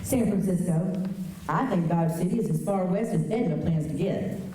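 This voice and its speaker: A woman's voice: lowish voice, normal speed, mellow, close to an everyday voice. A woman acting a poised, knowing, friendly Old West townswoman in a western radio drama. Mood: wry, knowing. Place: high school auditorium stage. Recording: very echoey auditorium, distant mic, faint noise.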